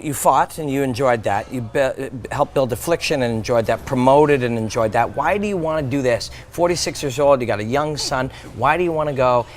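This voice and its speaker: A man interviewing a guest in a relaxed, chatty way, asking loosely phrased questions. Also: mid-range voice, a little fast, few pauses, a little hiss or hum.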